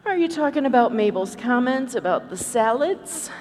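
A woman's voice: a little high, animated, normal speed, darkish tone, close to an everyday voice. A woman playing a dry, sarcastic, skeptical church-group lady in a live comedy sketch on stage. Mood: disapproving. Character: Gladys, dry, skeptical longtime church-ladies' group member. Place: convention stage in a large hall. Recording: echoey hall, live stage, background noise.

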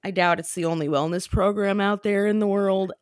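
A woman's voice: mid-range voice, steady tone, a little fast, clear, resonant tone, no echo. A chatty woman co-hosting a podcast, laughing often as she talks. She has a mid-range voice and loose filler-filled phrasing. The sound is dry and close. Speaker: podcast co-host. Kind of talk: casual chat.

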